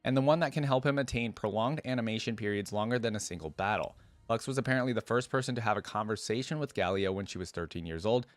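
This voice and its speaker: A man's voice: on the low side, no room sound. A man hosting a reaction video, reading aloud and adding casual commentary.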